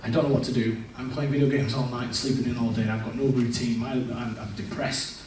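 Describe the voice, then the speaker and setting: A man's voice: mid-range voice, fairly even intonation, a little fast, crowd noise. Man giving a candid testimony in an echoey church. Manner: candid, self-deprecating, with restarts. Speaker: church speaker. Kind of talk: personal testimony. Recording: echoey church hall, mic far off.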